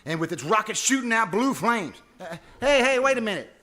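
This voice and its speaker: Radio comedy lead: man as boastful small-town husband, high forceful voice, folksy bad grammar, full of bluster. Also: big pitch swings, brisk, full character voice. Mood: brash. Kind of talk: old-time radio comedy acting. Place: live stage performance at a winery.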